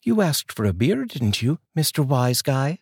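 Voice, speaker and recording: A man voicing a playful, teasing wife in a storybook reading, with a lifted, brighter tone. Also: mid-range voice, big pitch swings, a little slow, creaky, husky, dry recording, clean studio sound, pitched above the performer's own voice, exaggerated voice.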